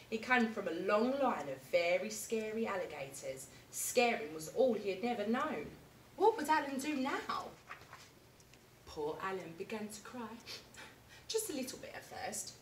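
Expressive young woman reading a picture book. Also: fairly high pitch, slight background noise. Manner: lively, expressive storytelling. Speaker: primary school teacher. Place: classroom reading corner, indoors. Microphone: camera at a distance. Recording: echoey room, mic far off.

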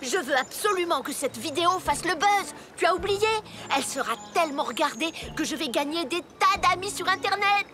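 Brief: boastful little cartoon boy, very high, theatrical and eager, loud music behind. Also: lively intonation, a little fast, hardly any pauses, heavily acted. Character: excitable, boastful young boy making magic films. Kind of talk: French-language children's cartoon dub.

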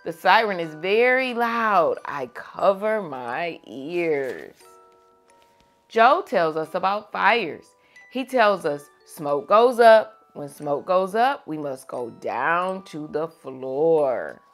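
Young woman, children's read-aloud host. Unhurried, teacherly, very animated intonation, music behind. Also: lowish voice. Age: young adult. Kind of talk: expressive storybook read-aloud and chat to children. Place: small furnished room indoors.

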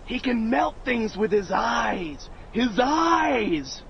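An actor playing a wisecracking young American paratrooper, teasing and argumentative, with a sarcastic delivery. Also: male voice, extremely high pitch, very animated, very slow, vocal fry, dull audio, exaggerated voice.

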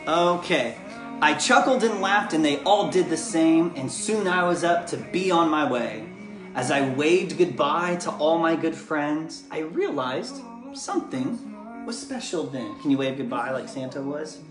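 A man in his thirties with an American accent, reading a bedtime story aloud and chatting casually with viewers, gentle and encouraging as if to a child. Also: fairly high pitch, animated, a little slow. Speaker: father and children's book author reading aloud. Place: living room at home, indoors. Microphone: camera or phone propped just beyond arm's length. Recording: echoey living room, music behind.

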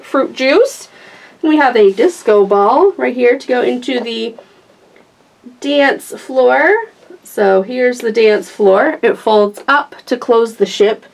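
Higher-voiced, unhurried woman showing off toys. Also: creaky. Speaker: toy review host. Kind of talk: casual unboxing and demonstration chat.

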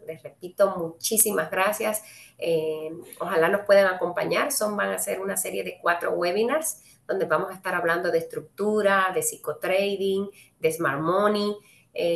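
A woman's voice: mid-range voice, normal speed, gravelly, roomy sound. A woman from Cuba with a Cuban Spanish accent, hosting a live trading webinar, talking to viewers in a friendly way with many "eh" fillers. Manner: friendly, addresses viewers directly, frequent "eh" fillers. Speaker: webinar host and trading speaker.

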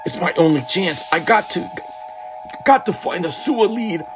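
A man's voice: high-pitched, expressive, normal speed, music in the background. A man voicing a desperate young fugitive who talks tough in street slang.

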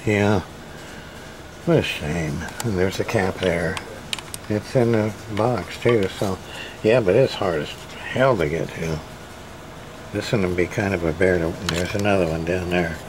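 A man giving casual hands-on repair commentary as a repairman hosting his own video, halting and muttering to himself as he works. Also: sits low, background noise. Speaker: radio repairman and video host. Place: indoor repair workbench.

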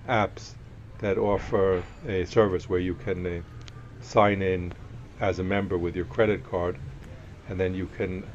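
Deep-voiced man guiding a walking tour. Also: a little hiss or hum. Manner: relaxed, with many fillers and restarts. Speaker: tour guide. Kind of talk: casual walking tour narration. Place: outdoor market compound with wooden walkways.

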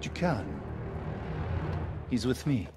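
An actor playing a witty, self-assured aristocratic schemer in a video game, urbane and theatrical. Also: male voice, mid-range voice, sing-song, takes it slowly. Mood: wry, assured. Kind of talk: video game cutscene acting. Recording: music underneath.

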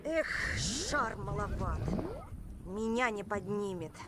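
An actress playing a headstrong, excitable young princess inventor in a cartoon, speaking emphatically. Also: female voice, medium pitch, takes it slowly, very noisy. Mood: impatient. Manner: emphatic, exclamatory.

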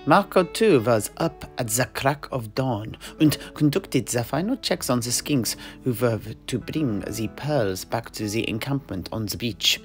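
A man's voice: mid-range voice, animated, a little slow, few pauses, close to an everyday voice. A man playing a mercenary knight who recounts his grandfather's adventures in a hesitant, conversational way for a tavern audio play. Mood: measured storytelling. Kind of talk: in-universe storytelling audio play. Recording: music behind the voice.

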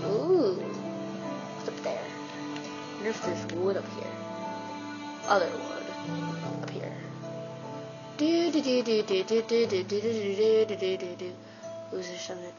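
A woman with a stuffy nose gives casual, rambling commentary while she plays a video game, excitedly talking to herself. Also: mid-range voice, unhurried, long pauses. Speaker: let's play gamer. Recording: dull lo-fi with game music.

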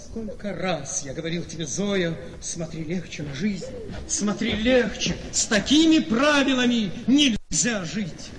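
An actor playing a manipulative, self-pitying gentleman husband in a Russian radio play, coaxing and melodramatic. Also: high voice, expressive, a little slow. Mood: breezy persuading. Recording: reverberant studio radio play with noise.

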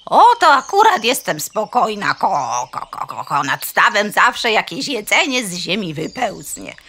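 A woman's voice: mid-range voice, sing-song, measured pace, bright, forceful tone, husky, exaggerated voice. A woman playing a gossipy, frightened farmyard hen in a children's audio play, melodramatic and full of stuttering clucks. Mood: exasperated.

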